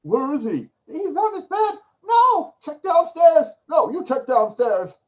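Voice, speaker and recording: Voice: big pitch swings, measured pace, dull audio. A performer doing hysterical suburban parents, wildly swooping. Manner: hysterical, shrill. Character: panicky suburban parents woken by an intruder.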